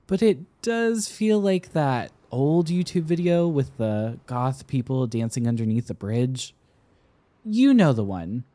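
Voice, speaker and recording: A young man playing an affectionate, teasing sweetheart smitten with an old friend, speaking tenderly and haltingly in an intimate roleplay ASMR style. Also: on the high side, dark tone, dry recording. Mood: amused, casual. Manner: tender, playful, halting with pauses and repeats.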